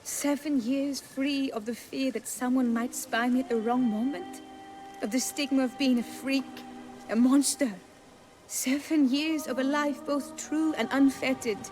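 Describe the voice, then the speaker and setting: Resolute young noblewoman for a fantasy game: refined, precise, fairly high-pitched, light score underneath. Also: steady tone, a little slow, a little mellow. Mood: impassioned. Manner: formal, courtly, precise phrasing. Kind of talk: video game cutscene acting. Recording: faint music under the voice.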